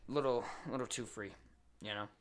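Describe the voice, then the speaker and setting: A man's voice: mid-range voice, fairly even intonation, normal speed. An American man chatting casually and bluntly as a podcast co-host. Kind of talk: casual chat.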